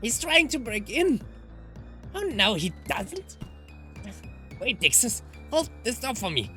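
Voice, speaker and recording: A woman voicing an urgent, bossy adventurer in a visual novel, shouting frantic commands. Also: on the high side, very animated, slow pace, voice pushed up, heavily acted. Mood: frantic, commanding. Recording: music behind the voice.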